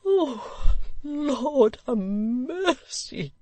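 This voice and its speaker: A woman voicing a choleric, frantic old gentleman who pleads and protests in indignant outbursts. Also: fairly high pitch, sing-song, takes it slowly, no echo, voice pushed up.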